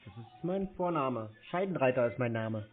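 A man arguing casually on a phone call in a curt, provocative deadpan. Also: mid-range voice, normal speed, faint music behind. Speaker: prank call recipient baiting scam callers. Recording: tinny phone-like call audio.

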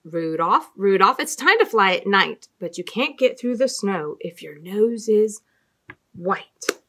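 A middle-aged woman telling stories and talking to young children as a storytime host, with an expressive, deliberate sing-song delivery and dramatic emphasis. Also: mid-range voice. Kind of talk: read-aloud storytelling and talk to young children. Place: small indoor room with fabric backdrop.

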